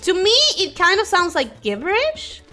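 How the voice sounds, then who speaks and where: A young woman streaming a game, her first language Spanish, with a high, bright voice that leaps all over the place. She chats away casually, reacting to the game and answering chat. Faint game music plays underneath. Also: very animated, normal speed. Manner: chatty, reacts to the game and reads chat aloud.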